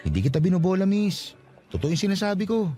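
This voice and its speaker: Young provincial Filipino worker, humble, flattering, quick delivery, lively intonation, radio drama, faint music behind. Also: male voice, sits high. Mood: earnest, flattering. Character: earnest, flattering young provincial man, hotel janitor, late twenties. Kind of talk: radio drama acting in natural conversation.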